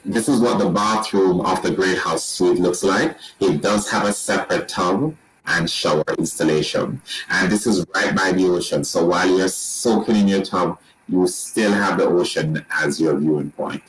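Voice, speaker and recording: Deep-voiced Jamaican man presenting resort rooms. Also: normal speed, few pauses, slight background noise, poor audio. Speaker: resort sales representative. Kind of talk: presenting a slideshow tour.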